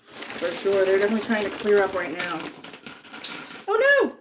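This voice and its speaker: A woman's voice: mid-range voice, huge pitch swings, normal speed, noisy background. A woman chatting casually on a livestream while she cooks in her home kitchen, sniffly from chopping jalapenos and reading viewer comments aloud. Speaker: livestream host cooking.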